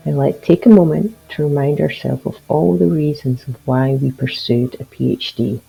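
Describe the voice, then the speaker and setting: A man leading a guided meditation in a soothing, gentle and encouraging manner. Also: on the high side, moderate pace, gravelly, breathy edge, poor audio. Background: music behind the voice.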